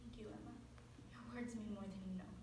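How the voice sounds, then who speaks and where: A woman's voice: medium pitch, steady tone, fast, lightly acted. A young woman on stage playing a grieving, embattled mother of a disgraced teenage son. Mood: subdued, hurt. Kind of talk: high school readers theater performance. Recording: very echoey room, distant mic, faint noise.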